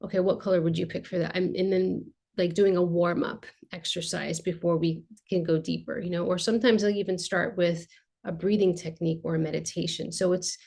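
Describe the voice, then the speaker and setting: A woman's voice: lowish voice, fairly even intonation, brisk, vocal fry, a little mellow. A woman art therapist being interviewed, explaining in a chatty, casual way with lots of filler words.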